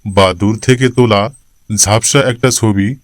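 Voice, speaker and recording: Male story narrator, lowish and clear, formal read, very dry sound. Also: slow pace, dry recording.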